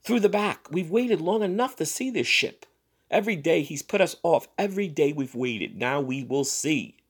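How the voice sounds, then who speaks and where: A man voicing a forceful, indignant elderly woman with shrill, accusing exclamations in a dramatic story reading. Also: expressive, normal speed, slightly rough. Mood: fierce, urgent.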